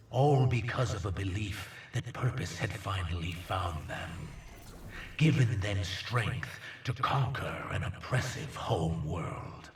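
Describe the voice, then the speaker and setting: An actor playing a ruthless, devoted alien conqueror in a video game, delivering a solemn, grandiose monologue in a breathy, rasping voice. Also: male voice, heavily acted. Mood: solemn resolve. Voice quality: breathy, gravelly low rasp. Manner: grandiose, solemn, measured, reverent. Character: ruthless alien conqueror, grave devoted disciple of a dark master. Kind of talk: slow theatrical dramatic monologue in a video game. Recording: echoing, distant, faint noisy ambience.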